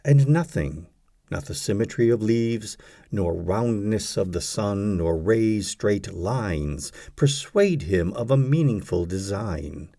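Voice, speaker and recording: A man narrating a poetry audiobook, reciting scripted verse in a measured, deliberate way. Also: on the low side, lively intonation, mellow, no echo.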